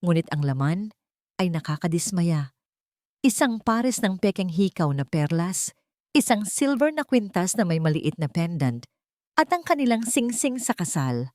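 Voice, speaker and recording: A woman narrates a dramatic piece of fiction in a somewhat low voice. Her delivery is deliberate and suspenseful, like a storyteller reading from a script. The sound is dry, with very little room around it. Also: big pitch swings, a little mellow, dry recording.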